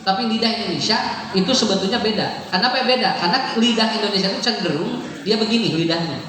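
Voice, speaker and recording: A man's voice: sits high, quick pace, sharp tone. A man with a Sundanese accent giving a chatty, joking sermon to a congregation, often laughing as he talks. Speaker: Islamic preacher. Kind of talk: lively humorous sermon to a congregation. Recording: echoey hall, far from the mic, noisy.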